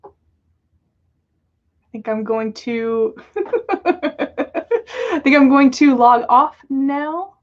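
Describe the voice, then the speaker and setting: A woman's voice: high-pitched, extremely slow, long pauses, highs cut off. A woman hosting a livestream, reading stories and chatting casually in a playful, giggly manner. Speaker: podcast host reading listener stories. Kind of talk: livestream storytelling and casual chat.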